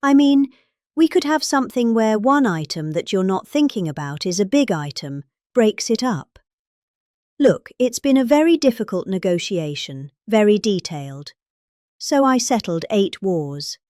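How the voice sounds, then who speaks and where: A middle-aged British woman delivers a political speech as a theatrical reading. Her intonation is lively, rising and falling widely with exaggerated emphasis. She sounds close and dry, with no room around her voice. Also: medium pitch, unhurried, no echo. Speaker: reader of a speech.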